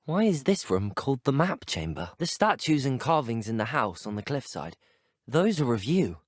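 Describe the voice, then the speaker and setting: A courteous, brave young British wizard student for a video game. His delivery is articulate and measured in its wording, with lively, expressive pitch movement. The voice has a slightly husky grain and sits in a very dry, close recording. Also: no echo. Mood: polite, curious. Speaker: player character in a video game. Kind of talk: video game voice acting, scripted lines.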